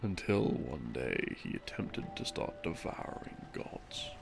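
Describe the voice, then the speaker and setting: A man playing an easygoing boyfriend who tells rambling bedtime game lore in a casual, unhurried, conversational way. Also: very deep voice, sing-song, vocal fry, exaggerated voice. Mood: relaxed storytelling. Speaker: audio roleplay performer. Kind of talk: rambling bedtime storytelling, sleep-aid roleplay audio. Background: background music.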